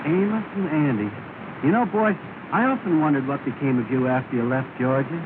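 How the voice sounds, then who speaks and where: An actor playing a cordial, polished, slightly patronizing gentleman in an early 1930s film. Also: medium pitch, brisk, lightly acted. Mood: surprised, cheerful. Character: genial well-bred gentleman, friendly and patronizing. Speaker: supporting actor in a comedy film. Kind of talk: 1930 early sound film acting. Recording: scratchy, tinny, noisy 1930 film soundtrack.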